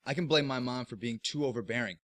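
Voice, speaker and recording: A man's voice: mid-range voice, fast talker, fairly bright tone, natural voice. An actor playing a defiant young man who talks with a sarcastic edge.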